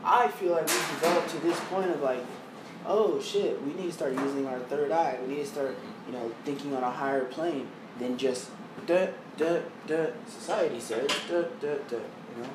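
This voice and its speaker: Rambling young man with a fairly high voice. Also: moderate pace. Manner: loose, rambling, full of "like" and swearing. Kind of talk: casual chat. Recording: roomy, distant mic with background noise.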